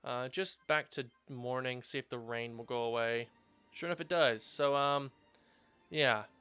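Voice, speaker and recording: Slightly hoarse man narrating gameplay. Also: mid-range voice, a little slow, pushed and bright. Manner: rambling, filler-heavy, upbeat. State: slightly hoarse after surgery. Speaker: let's play host. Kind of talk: casual gameplay commentary. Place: home gaming setup, recording standing up.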